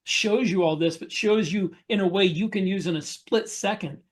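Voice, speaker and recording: Higher-voiced man explaining in conversation. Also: normal speed. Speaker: podcast co-host. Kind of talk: casual discussion of writing military science fiction.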